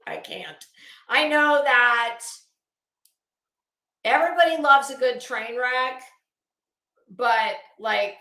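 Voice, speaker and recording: A woman's voice: a little high, fairly bright tone. A woman giving an unscripted opinion rant as a channel host, in a blunt, scornful and emphatic manner. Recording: roomy, echoing sound.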